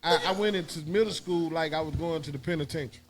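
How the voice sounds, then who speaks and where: Higher-pitched man telling stories. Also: quick pace, over a noisy crowd. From Houston, Texas. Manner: emphatic, slangy storytelling. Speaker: guest, high school alumnus. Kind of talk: casual reminiscing chat.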